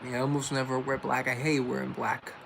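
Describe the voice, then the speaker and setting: A man's voice: medium pitch, flat delivery, a little fast, gravelly, background noise. A man who grew up in Colombia, chatting casually and playfully as host, joking around. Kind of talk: casual comedic chat.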